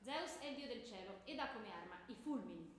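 An actress playing a stern, pedantic schoolteacher who lectures and quizzes pupils in comic radio theatre. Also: female voice, mid-range voice, animated, a little fast, close to an everyday voice. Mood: pedantic lecturing. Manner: pedantic, curt, reciting lists. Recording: echoey room, clearly noisy.

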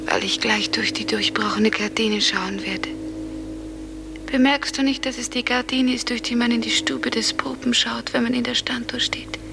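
An actress playing a dreamy, wistful young woman on the run, breathy and hushed, in a 1950s radio play. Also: on the high side, steady tone, normal speed, noisy background. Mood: wistful dread. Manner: breathy, hushed, often whispering. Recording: old radio recording under loud music.

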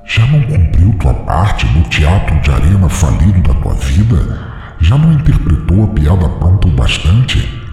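A cruel inner demon, put on by a male narrator, taunts in a very deep, gravelly voice that swoops between sneers and mock sweetness. The voice is drenched in a long echoing reverb over music. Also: big pitch swings, normal speed, warm tone. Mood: goading. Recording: echoey reverb effect with music.

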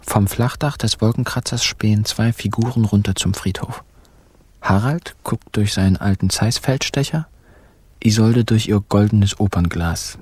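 An actor playing a wry young narrator of a radio crime comedy, in clipped, ironic diary entries. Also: male voice, lowish voice, normal speed, a little mellow, breathy edge. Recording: music under narration.

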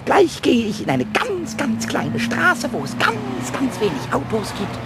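A man playing a cheeky, defiant little invisible kobold in a German children's radio play, with a squeaky, creaky voice and excitable, stammering grumbles. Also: measured pace, over loud music, full character voice. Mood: excited scheming. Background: street traffic noise.

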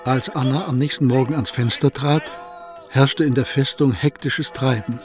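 A man narrating a radio play in a steady storytelling manner. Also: medium pitch, moderate pace, faint music behind. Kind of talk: scripted radio drama narration.

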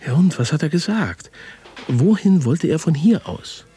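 A male investigator in a naturalistic radio drama. His voice is very husky and dark, and he questions with restrained but stubborn persistence. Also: fairly high pitch, lively intonation, unhurried, full character voice. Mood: urgent, insistent. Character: insistent male investigator searching for a missing journalist.

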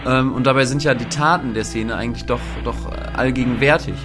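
A man's voice: medium pitch, fairly even intonation, brisk. A man giving explanatory commentary in a documentary interview. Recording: music under the voice.

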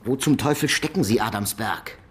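An actor playing a gruff, sceptical police colleague in a radio crime drama. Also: male voice, medium pitch, moderate pace, music in the background, close to an everyday voice. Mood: gruff, irritated. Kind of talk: German radio drama acting.

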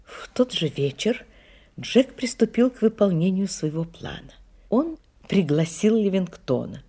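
A woman's voice: mid-range voice, sing-song, a little slow, dark tone, husky, full character voice. A woman narrating an audio drama from a script, in a storytelling style.

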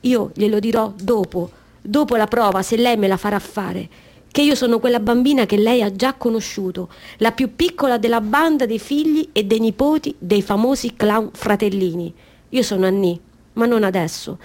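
Radio play: actress as a proud circus woman seeking her chance, fairly high voice, insistent storyteller. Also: moderate pace, a little mellow, lightly acted. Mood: earnest pleading. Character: eager, proud circus-born woman pleading for an audition. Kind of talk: radio theatre monologue.

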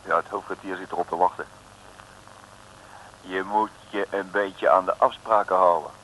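A menacing gangster in an old comic radio play. His low voice is impatient and threatening, full of street talk. There is slight noise on the recording. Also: fairly even intonation, moderate pace, a bit bright, rough recording. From Netherlands. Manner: threatening, colloquial. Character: menacing, impatient male crook with street slang. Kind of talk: comic radio serial acting, around 1960.

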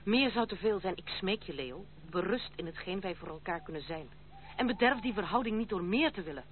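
A woman's voice: medium pitch, animated, brisk, lightly acted. An actress playing a proud, stern young woman in a Dutch radio drama, speaking formally and resolutely. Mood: urgent. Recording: tinny, noisy old radio play recording.

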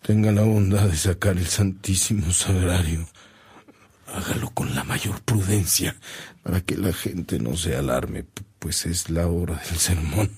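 Deep-voiced, weary old bishop. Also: male voice, darkish tone, breathy edge, exaggerated voice. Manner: solemn, prayerful, effortful delivery. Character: ailing old bishop, devout, gentle and weary. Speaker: actor. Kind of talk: radio drama acting.

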